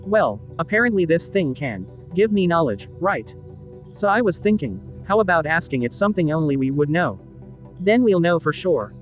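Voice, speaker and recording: A cautious teenage boy, acted by a man, with a somewhat high voice. He sounds skeptical and worried in casual slang, as a visual novel character with music behind. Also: very animated, normal speed.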